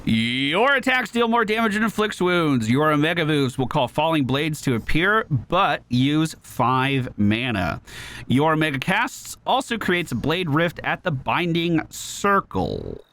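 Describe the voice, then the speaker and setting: Animated high-pitched man commentating a game. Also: measured pace. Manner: animated, exclamatory, swears casually. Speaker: gaming video host. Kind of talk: casual live game commentary. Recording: game music behind.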